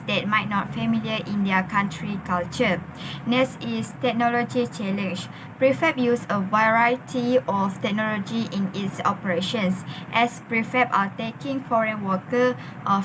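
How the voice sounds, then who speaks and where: A young woman with a Malaysian accent reads out her part of a class report. She stops often on fillers as she talks, at a middle pitch. Steady background noise sits under her voice. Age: young adult. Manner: halting, many uh fillers. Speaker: student presenter. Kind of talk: class presentation.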